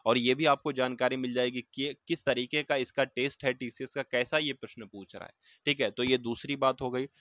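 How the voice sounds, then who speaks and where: A man with a slightly high voice explains things informally, like a coach to exam candidates. He repeats key points, poses quick questions and answers them himself. The recording is dry, with no room sound. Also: a bit bright, no echo. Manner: repeats points, asks and answers own questions, checking in often. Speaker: exam-news presenter and coach.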